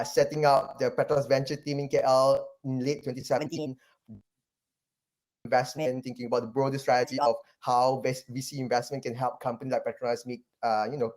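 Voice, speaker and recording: A man's voice: mid-range voice, a little mellow. A man in his thirties from Malaysia tells his career story in a loose, rambling way. He restarts sentences and leans on fillers as he thinks aloud. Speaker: guest, venture capital partner. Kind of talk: casual interview, life story.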